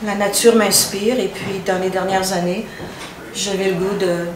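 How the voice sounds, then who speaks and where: A woman's voice: mid-range voice, steady tone, measured pace. An older retired woman painter from Ottawa, speaking hesitantly with fillers. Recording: echoey room from a distance, noise behind.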